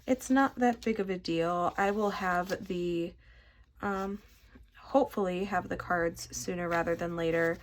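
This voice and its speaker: A woman's voice: fairly low pitch, unhurried, a little hiss or hum. A young woman from Michigan with a stuffy nose, chatting casually and rambling while she sorts collectible cards for her channel. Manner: rambling, self-deprecating, chatty. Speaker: vlogger.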